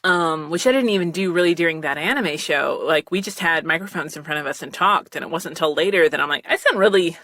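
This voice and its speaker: Chatty woman, bright close-miked voice. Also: fast talker, few pauses, no echo. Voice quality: self-described low voice. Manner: rambling, chatty, with frequent fillers and laughs. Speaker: podcast guest talking voice acting. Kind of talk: casual commentary chat, giving recording advice. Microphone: close studio mic with headphones.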